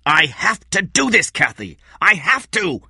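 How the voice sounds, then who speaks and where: A man's voice: fairly high pitch, animated, measured pace, pushed and bright, dry recording, clean studio sound, voice pushed up, full character voice. A man reading a story and voicing a stubborn, driven man who gives clipped, insistent orders. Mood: angry.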